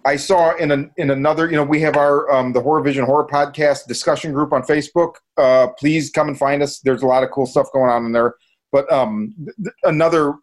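Enthusiastic higher-voiced man chatting casually. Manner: enthusiastic, heavy casual swearing. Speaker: horror podcast co-host. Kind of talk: casual movie review chat.

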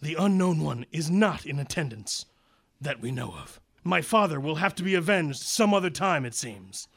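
Man acting clan villain, highish male voice, solemn, formal, deferential, vengeful, radio drama. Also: lively intonation, normal speed, husky. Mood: grave, vengeful.

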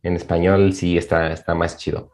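A man's voice: low voice, flat delivery, moderate pace, a little mellow. A young man with a Mexican accent chatting casually with friends, laid-back and slangy. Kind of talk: casual chat among friends.

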